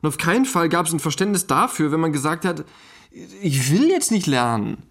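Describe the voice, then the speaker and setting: An actor playing an easygoing, freedom-loving German husband, talking casually and hesitantly in a documentary radio play. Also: male voice, on the high side, sing-song, moderate pace. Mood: wry, casual.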